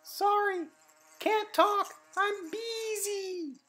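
A woman voicing a tiny, frantic female bee in a children's story, high and clipped. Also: extremely slow, fairly bright tone, full character voice. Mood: hurried.

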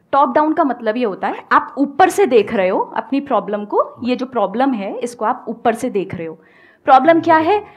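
A woman's voice: on the high side, husky. A woman in her thirties with an Indian accent, giving an emphatic motivational talk on a studio stage, mixing Hindi and English. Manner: emphatic and animated, switching between Hindi and English, gesturing a lot. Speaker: guest speaker, English-speaking coach. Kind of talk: motivational talk to a live audience. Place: indoor studio stage with a live audience. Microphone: headset mic.

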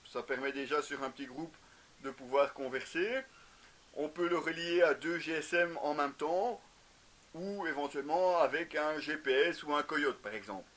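A man's voice: on the high side, moderate pace, fairly bright tone. A man in his thirties giving a casual product review to camera in a garage, explaining with frequent hesitations. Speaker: motorcycle gear reviewer. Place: indoor garage with shelves.

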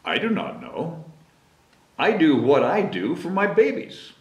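A man's voice: fairly high pitch, wildly swooping pitch, unhurried, a little mellow, muffled recording, pitched above the performer's own voice, full character voice. Man reading as a kindly mother rabbit. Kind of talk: children's storybook character. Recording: roomy, echoing indoor sound.

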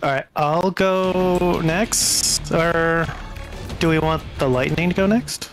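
A man's voice: fairly high pitch, fairly even intonation, takes it slowly. Man chatting tactics over music. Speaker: tabletop game player. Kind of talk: casual tactical game chat. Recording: music playing behind the voice. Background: background music.

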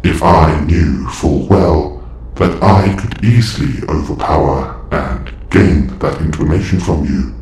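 A man playing a calm, formal robot superhero with quiet menace, speaking in stiff, precise phrases in an audio roleplay. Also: extremely low pitch, slow pace. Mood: firm, restrained. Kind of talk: scripted audio roleplay acting. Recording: echoey, faint background ambient noise.

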